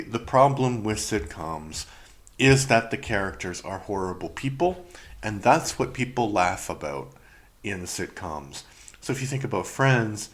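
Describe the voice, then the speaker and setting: A middle-aged man from Canada with a Canadian accent, chatting casually and rambling through a solo review podcast, often laughing and trailing off. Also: lowish voice. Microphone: podcast microphone.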